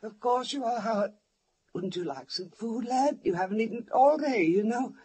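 High-voiced, frightened old woman, radio thriller. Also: animated, normal speed, mellow, breathy edge. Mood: anxious placating. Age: older. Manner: pleading, placating, anxious. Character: frightened, pleading old woman held captive at home. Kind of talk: 1940s-50s radio thriller acting. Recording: noisy old-time radio recording.

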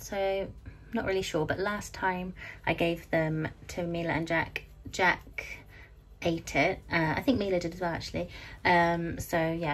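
British mum in her 30s rambling thru a food haul, ums everywhere, bit of hiss in the background. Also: fairly low pitch. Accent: British English. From United Kingdom. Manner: chatty, many ums and "so yeah". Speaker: vlogger. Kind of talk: casual grocery haul chat. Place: home kitchen, indoors.

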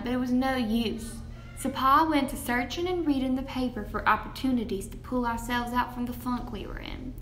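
A woman's voice: sits high, fairly bright tone. A young woman playing a plucky teenage farm girl, telling her story in a folksy way straight to listeners. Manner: folksy, addressing listeners directly. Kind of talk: scripted first-person storytelling. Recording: echoey room, faint background noise.

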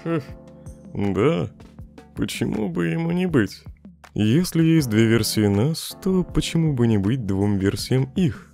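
A man plays a laid-back skeleton joker in a comic dub, with a mellow, mid-pitched voice. He sounds unhurried, wry and teasing over soft guitar music. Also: expressive, gravelly. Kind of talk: fan comic dub acting.

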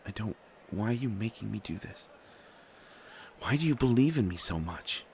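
Man playing a deep-voiced, flustered reluctant prince. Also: lively intonation, normal speed, gravelly, a little mellow, slight background noise. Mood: pleading, despondent. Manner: flustered, hesitant, stammering, sighing. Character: reluctant, anxious young bastard prince, soon to be king. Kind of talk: roleplay audio drama monologue. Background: faint music.

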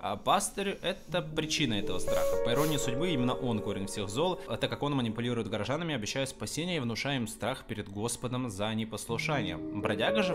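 A man giving an informal review commentary on animation. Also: mid-range voice. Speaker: video reviewer.

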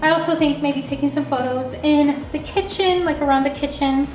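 A young woman chatting casually through a home vlog about her ceramics, full of "like" fillers, a little hoarse as she loses her voice. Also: high voice, moderate pace, echoey room, soft background music, low-quality recording. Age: young adult. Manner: chatty, many "like" fillers, upbeat. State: losing her voice. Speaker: ceramics small business owner vlogging. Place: home studio office and dining room, indoors. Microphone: camera mounted on window, handheld at times.

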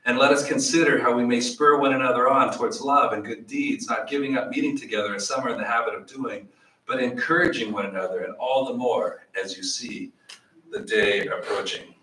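A middle-aged man teaching a Bible study in a small church room, animated, with questions for the audience. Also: mid-range voice, a little fast. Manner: animated, gestures widely, asks the audience questions. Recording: echoey room, voice from far off.

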